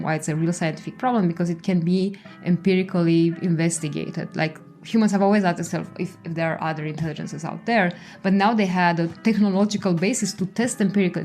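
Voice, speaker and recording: A woman's voice: on the low side, a little mellow. A young woman with a Romanian accent, a native Romanian speaker, explaining her research as an interview guest.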